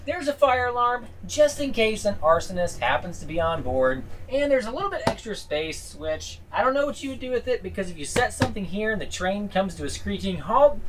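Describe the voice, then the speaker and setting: A man's voice: high-pitched, slight background noise, low-quality recording. A man vlogging a casual tour of a small sleeper train cabin, dropping dry joking asides. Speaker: travel vlogger.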